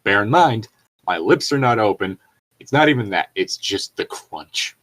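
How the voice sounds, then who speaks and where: A man's voice: fairly low pitch, lively intonation, moderate pace, a bit bright. A man co-hosting a casual fanfiction read-aloud, tossing in wry, joking asides. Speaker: co-host reading fanfiction aloud.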